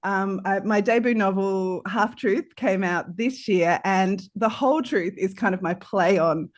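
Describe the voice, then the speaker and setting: Woman, podcast creator, unscripted chat, self-deprecating, medium pitch. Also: measured pace. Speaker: podcast creator and author, panel guest. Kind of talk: informal unscripted self-introduction.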